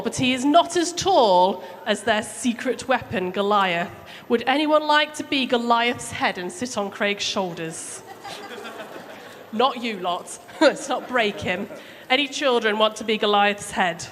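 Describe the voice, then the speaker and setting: A woman's voice: fairly high pitch, fairly bright tone. A woman telling a story to children in a chatty, simple, interactive way. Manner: chatty, asks listeners questions, addresses children simply. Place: church hall during a service. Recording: echoey hall with background noise.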